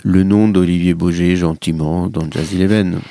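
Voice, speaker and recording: A musician guest whose first language is Italian speaks in a low, gravelly voice. He halts often, circling back over his words. The sound is close and dry. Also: fairly even intonation, warm tone, dry recording. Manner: halting, many restarts and fillers. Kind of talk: casual interview talk.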